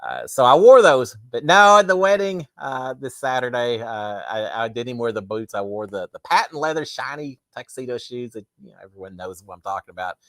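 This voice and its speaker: A middle-aged man, hosting a casual live chat and answering viewer comments, his throat slightly raspy and tired, rambling with many restarts. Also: medium pitch, fairly quick, few pauses, a bit bright, dry recording. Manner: rambling with frequent restarts and fillers. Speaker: livestream host.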